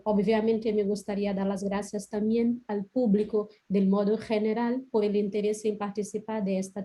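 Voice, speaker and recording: A woman's voice: mid-range voice, warm tone. A woman from Brazil with a Portuguese accent, giving an academic webinar talk over a video call, hesitant with many fillers. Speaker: guest lecturer.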